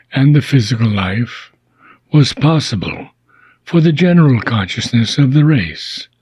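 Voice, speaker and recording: Slow, husky man reading aloud. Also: medium pitch, darkish tone, dry recording. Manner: deliberate, solemn, with long pauses between short phrases. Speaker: reader of a philosophy book. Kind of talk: slow, measured audiobook reading of philosophical prose.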